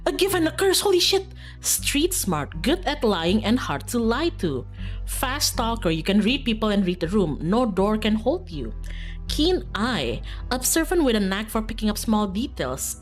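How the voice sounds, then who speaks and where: A woman livestreaming a visual novel, reading the game text aloud and breaking off into playful, self-teasing, casual asides to viewers. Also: fairly high pitch. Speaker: streamer playing a visual novel. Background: faint game music.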